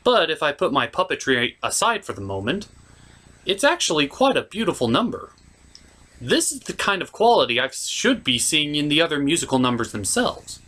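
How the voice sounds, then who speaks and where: A man's voice: on the high side, very animated, moderate pace, sharp tone. A man hosting a comedic film review, giving opinionated and digressive commentary. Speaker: host, puppeteer and film reviewer.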